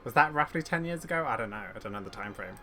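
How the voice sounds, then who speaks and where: A man's voice: mid-range voice, lively intonation, racing, noisy background. A man giving casual, rambling commentary as a game streamer, thinking aloud and opinionated.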